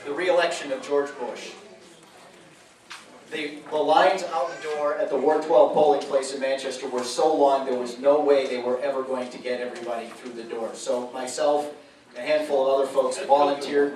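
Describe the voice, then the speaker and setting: A man's voice: medium pitch, fairly even intonation, normal speed, a little mellow, a little hiss or hum. A man, a radio host emceeing a public meeting, telling stories in a folksy way with chuckling asides. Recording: echoey room from a distance.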